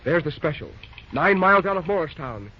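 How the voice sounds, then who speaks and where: An actor playing a brisk, determined young railroad dispatcher with an American accent, giving clipped, urgent lines in an old-time radio drama. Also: male voice, on the high side, very animated. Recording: dull, noisy vintage radio recording.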